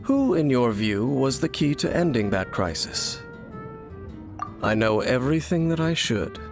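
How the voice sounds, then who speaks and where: A man's voice: medium pitch, sing-song, measured pace. A man playing a solemn, enigmatic wanderer in a video game, speaking in a cool, formal, cryptic way. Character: solemn, enigmatic male wanderer hunting dark forces. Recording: music behind the voice. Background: background music.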